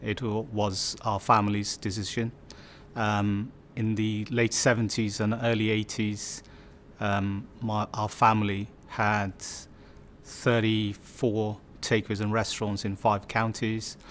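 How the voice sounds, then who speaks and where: A man in his fifties, originally from Bangladesh, recounts his life in an unhurried, reflective way. He talks slowly and often pauses on fillers before restarting his sentences. A faint hiss sits under the recording. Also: fairly low pitch. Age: 50s. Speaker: community storyteller. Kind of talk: personal life story interview.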